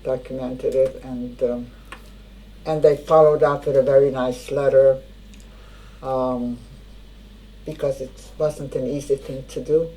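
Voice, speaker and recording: An older woman, a native Hungarian speaker, giving spoken testimony in a halting way, often searching for words. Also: a bass voice, fairly even intonation, mellow, poor audio. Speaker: interviewee.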